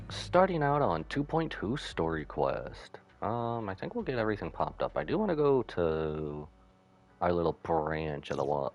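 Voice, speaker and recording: A man live-streaming a game, giving casual, rambling commentary that drifts into asides. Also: deep voice.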